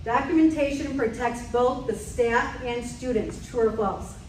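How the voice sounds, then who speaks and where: Woman trainer, fairly high voice, direct quiz style, mic far off in an echoey meeting room. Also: measured pace, slight background noise. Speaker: safety trainer. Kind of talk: leading a staff safety quiz.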